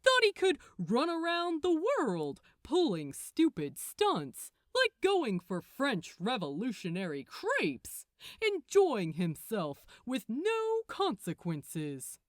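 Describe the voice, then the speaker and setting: A smug, mocking male archangel from an audiobook story, played by a woman. He talks down to his listener with theatrical relish. The pitch swings freely and the sound is close and dry. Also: huge pitch swings, slow, dry recording, full character voice. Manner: condescending, chuckling, theatrical. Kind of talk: acted audiobook dialogue.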